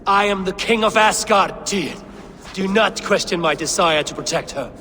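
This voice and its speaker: A man's voice: sits high, normal speed, noisy background, close to an everyday voice. An actor playing a stern, suspicious Norse god king in a video game, with commanding, formal, archaic phrasing. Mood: angry, defiant. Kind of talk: dramatic game cutscene acting.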